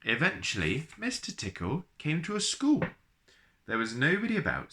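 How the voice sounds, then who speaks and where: A man reading a children's storybook aloud in an expressive, playful way, with chatty asides to the listener. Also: medium pitch. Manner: playful, addresses listeners directly.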